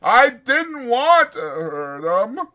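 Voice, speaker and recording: A big, simple-minded man for an audiobook, childlike and scared. The voice climbs high into a bleating, pleading wail, loud and swooping. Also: sing-song, very slow, heavily acted. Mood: miserable, whimpering. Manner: wailing, bleating.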